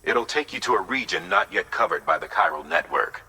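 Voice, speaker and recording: An actor playing a businesslike mission support officer in a video game, delivering a measured briefing. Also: male voice, deep voice, animated, sharp tone, slightly rough, long reverb tail, exaggerated voice, low-quality recording.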